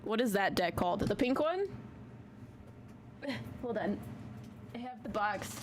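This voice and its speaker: Woman, tarot reader on a live stream, chatty and slangy, small soft voice, noisy basement recording. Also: mid-range voice, expressive, takes it slowly. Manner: chatty, slangy, casual swearing. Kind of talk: casual live-stream chat. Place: home basement.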